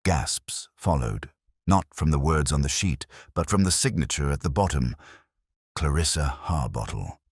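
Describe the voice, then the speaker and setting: A man reading a mystery story as a scripted audiobook narrator, in a measured, dramatic storytelling manner. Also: rumbling low, slightly rough, no echo, clean studio sound. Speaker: narrator and reader.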